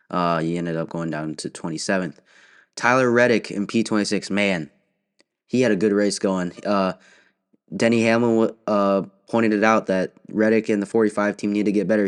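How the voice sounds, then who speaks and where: A man's voice: lowish voice, clear, resonant tone. A young man with a cold, sniffling, hosting a casual solo race recap podcast with many fillers and restarts. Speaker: podcast host.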